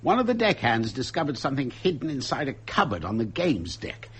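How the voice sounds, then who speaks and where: Husky, blustering ship's captain. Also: male voice, medium pitch, sing-song, brisk. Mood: subdued, grudging. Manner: blustery, authoritative. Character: blustering, exasperated male ocean liner captain. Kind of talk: radio drama acting.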